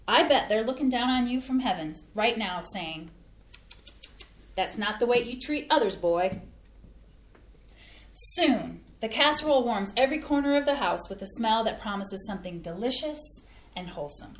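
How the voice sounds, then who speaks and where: A woman plays a sincere, homespun mom and home cook in a community stage play. Her voice sits fairly high and comes through an echoey room with some noise behind it. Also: expressive, normal speed, close to an everyday voice. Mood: tender, earnest. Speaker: actor in a staged play reading. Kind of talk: scripted community theatre acting. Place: indoor playhouse staged reading.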